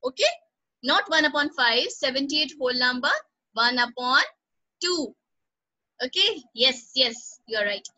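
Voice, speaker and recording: A woman's voice: sits high, lively intonation, a little slow, long pauses, pushed and bright, highs cut off. A female teacher, leading an online class, encouraging students by name and repeating instructions. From Pakistan.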